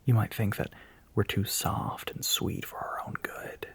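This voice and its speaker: A man acting as a nervous young soft-boy spokesman in an audio roleplay. His voice is breathy and often slips toward a whisper. He speaks haltingly and politely, yet with earnest firmness. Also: fairly low pitch, level tone, full character voice. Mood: earnest, resolute. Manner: hesitant, halting, politely firm. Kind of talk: audio roleplay monologue, addressing the listener.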